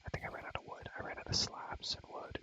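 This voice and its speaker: A man's voice: fast talker, bright, forceful tone. A young man whispering breathily into a close mic, rambling slangy ASMR gameplay commentary and repeating phrases over and over. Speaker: ASMR gamer and host.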